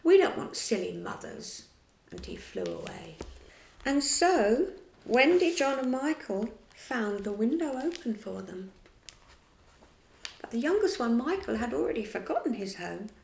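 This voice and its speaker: Woman storyteller, steady reading, slight gravel, echoey room. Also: fairly high pitch, a little slow, a little hiss or hum. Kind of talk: reading a children's story aloud.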